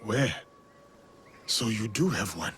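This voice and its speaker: An actor playing a stern, measured warrior of feudal Japan in a video game, formal and terse. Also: male voice, lowish voice, expressive, a bit bright, breathy edge, heavily acted. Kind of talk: video game cutscene acting.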